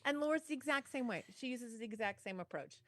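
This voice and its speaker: A woman's voice: on the high side, expressive, quick pace, a bit bright, dry recording. A woman podcast co-host and fitness instructor telling stories in a casual, dramatic, self-deprecating way. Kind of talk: casual animated storytelling chat.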